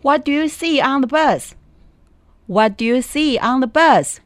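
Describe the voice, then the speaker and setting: A woman reading listening-test questions in a slow, deliberate way. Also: sits high, lively intonation, dry recording. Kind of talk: formal exam questions.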